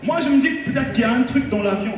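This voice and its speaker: Brief: young Congolese stand-up comic with a Congolese French accent, high-pitched and teasing, heard from a distance in a big hall. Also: male voice, racing, a little hiss or hum. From Republic of the Congo. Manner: playful, teasing the audience, mimics voices. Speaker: stand-up comedian. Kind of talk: live stand-up routine. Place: large hall on stage. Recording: echoey hall mic from far off.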